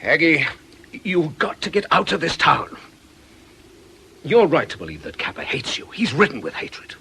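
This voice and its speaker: An actor playing an anxious middle-aged priest, urgent and earnest, in a radio drama. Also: male voice, fairly high pitch, wildly swooping pitch, moderate pace, vocal fry, pushed and bright, slight background noise, full character voice. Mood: urgent alarm.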